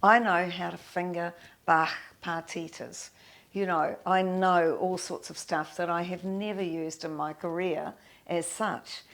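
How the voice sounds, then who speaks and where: An older woman, reminiscing in an interview in a halting, thoughtful way with many pauses. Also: fairly low pitch, creaky. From New Zealand. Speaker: guest, pianist and composer.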